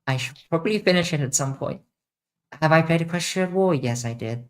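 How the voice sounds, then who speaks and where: A woman's voice: deep voice, brisk. Blunt, profane young streamer woman, mixed accent. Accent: mixed international. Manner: blunt and profane, answering chat questions. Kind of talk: casual livestream Q&A.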